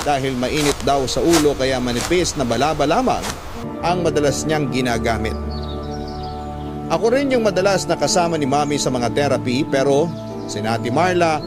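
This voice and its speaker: Man as grieving storyteller, middle pitch, measured, reflective first-person narration, loud musical backing. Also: natural voice. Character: sorrowful grown child narrating a parent's illness.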